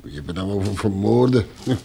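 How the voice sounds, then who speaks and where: Very gravelly, surly henchman. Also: lowish voice, lively intonation, fairly quick, warm tone, exaggerated voice. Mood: surly, threatening. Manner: gruff, colloquial. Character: surly, threatening rough male henchman. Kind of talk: Dutch radio play acting.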